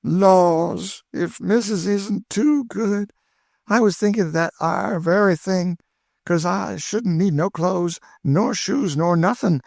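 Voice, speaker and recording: A man's voice: sits high, slow, creaky, darkish tone, dry recording, clean studio sound, voice pushed up, full character voice. A man voicing an eager, chatty older woman cook with an in a storybook reading. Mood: delighted. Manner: exclaiming, folksy, eager.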